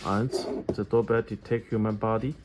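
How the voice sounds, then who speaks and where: A man giving a step-by-step product tutorial in halting English, often repeating his phrases. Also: on the low side, fairly even intonation, very noisy. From China. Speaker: product demonstrator for an electronics company.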